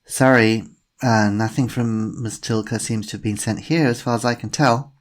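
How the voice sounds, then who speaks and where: A man's voice: mid-range voice, steady tone, moderate pace, pitched above the performer's own voice, lightly acted. A man reading an uneasy, guarded father. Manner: guarded, careful.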